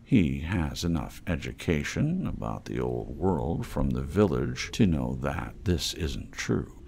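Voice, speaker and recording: A man's voice: extremely low pitch, big pitch swings, slow pace, creaky, a little mellow, slight background noise. A man reading a work of fiction aloud as an audiobook narrator.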